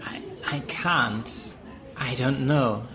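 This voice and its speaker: An actor playing a shaken man who hesitantly consoles someone in an audio drama, halting and repeating himself. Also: medium pitch, a crowd in the background. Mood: shaken, resigned.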